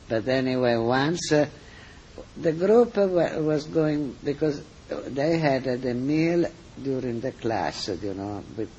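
An older man with an Italian accent, a cooking teacher telling stories in an interview, halting and full of fillers and restarts. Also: medium pitch, mellow, slight background noise. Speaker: cooking school teacher, guest. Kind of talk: interview answers, storytelling. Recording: slightly dull, muffled recording.

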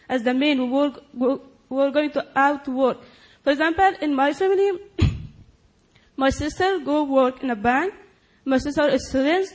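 A woman's voice: high-pitched, rough recording. A young woman from Afghanistan, not a native English speaker, asking a question politely and hesitantly. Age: young adult. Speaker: audience member asking a question. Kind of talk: audience question.

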